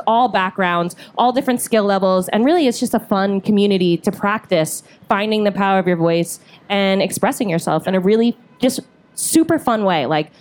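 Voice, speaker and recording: A woman beatboxer and teacher speaking on a panel, bubbly and enthusiastic. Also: medium pitch. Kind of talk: upbeat panel talk.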